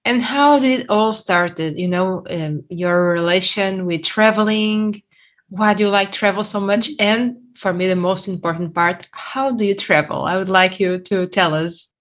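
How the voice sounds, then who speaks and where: Interviewer: woman, medium pitch, conversational questioning in speech. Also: unhurried.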